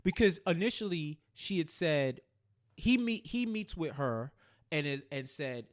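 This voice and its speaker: A man co-hosting a casual recap chat, speaking in a halting way and repeating phrases as he thinks aloud. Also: a little high, animated. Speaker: podcast co-host.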